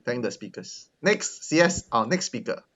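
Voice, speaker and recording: A man's voice: medium pitch, sing-song, normal speed, fairly bright tone, lo-fi sound. A man hosting an online user group meetup, talking casually with lots of fillers and restarts. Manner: hesitant, many fillers. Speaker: meetup host. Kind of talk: casual video call chat. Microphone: video call.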